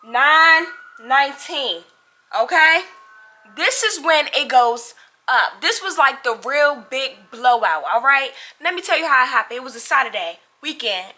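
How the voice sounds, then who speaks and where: A young woman with a high, bright voice tells a story straight to her viewers. Her delivery is casual and slangy, with dramatic emphasis as she plays out who said what. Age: young adult. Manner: dramatic, slangy, acts out dialogue. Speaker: storytime vlogger.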